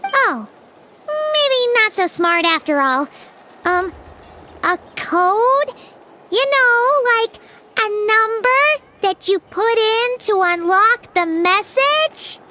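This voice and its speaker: A woman voicing a tiny floating girlish companion who is bubbly, blunt and quick to exclaim and tease. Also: very high voice, animated, full character voice. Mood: curious. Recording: game audio with background noise.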